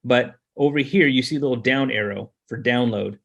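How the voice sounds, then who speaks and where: A man giving an informal, step-by-step screen-share tutorial as a software trainer, explaining and repeating points for emphasis. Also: mid-range voice, brisk, gravelly, lo-fi sound.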